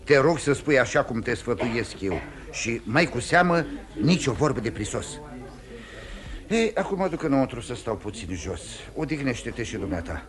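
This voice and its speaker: An actor playing a confident defence lawyer in a radio play, persuasive, insistent and reassuring. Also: male voice, mid-range voice, big pitch swings, close to an everyday voice. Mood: coaxing, brisk. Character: confident, coaxing male defence lawyer advising his client. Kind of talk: mid-century radio theatre acting.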